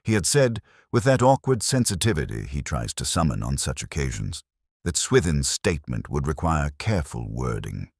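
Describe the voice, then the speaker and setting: A man narrating a first-person literary detective story in a measured, reflective, melancholic way. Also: a bass voice, big pitch swings, gravelly, breathy edge, no room sound, clean studio sound.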